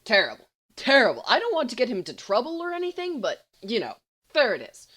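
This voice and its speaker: A woman voicing a cheeky, melodramatic teenage schoolboy in an audiobook, fibbing smoothly with blustering exaggeration. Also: fairly high pitch, wildly swooping pitch, fast talker, fairly bright tone, pitched above the performer's own voice, heavily acted. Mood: smooth mock indignation. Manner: blustering, exaggerating, mock-confidential. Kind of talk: audiobook character voice.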